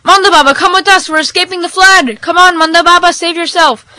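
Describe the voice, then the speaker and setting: Woman as a frantic rescuer, high and yelling. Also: a little fast, dry recording, studio quality. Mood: urgent calling. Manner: urgent, repetitive calling out. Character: frantic male rescuer yelling urgent flood warnings.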